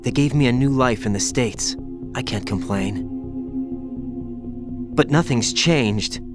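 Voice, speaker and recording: A man's voice: medium pitch, takes it slowly, close to an everyday voice. A man playing a brooding young soldier. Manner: quiet, brooding and guarded. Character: young male soldier haunted by a child-soldier past. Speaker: game character. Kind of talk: video game cutscene dialogue. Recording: game audio with music under it.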